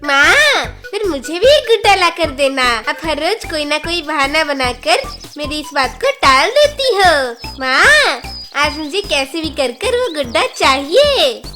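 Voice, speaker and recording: A woman's voice: high voice, big pitch swings, unhurried, pushed and bright, faint music behind, heavily acted. A woman voicing a needy but loving young bird child in a children's cartoon, earnest and pleading. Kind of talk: Hindi children's cartoon voice acting.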